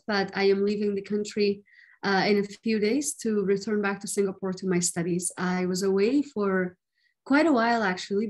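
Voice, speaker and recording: A woman's voice: mid-range voice, darkish tone. A young woman in her twenties from Afghanistan, a student answering interview questions earnestly with a few fillers. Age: 20s. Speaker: guest, student and activist.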